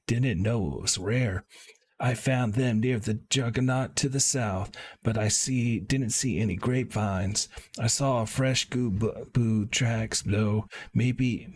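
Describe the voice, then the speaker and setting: Man reading as a halting, gently curious game villager. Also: medium pitch, husky. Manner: halting, stumbling over words. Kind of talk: reading game dialogue aloud.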